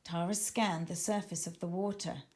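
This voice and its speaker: A woman reading a children's book aloud in an expressive, dramatic storytelling manner, as scripted narration for young listeners. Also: lowish voice, moderate pace. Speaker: author reading her own children's book.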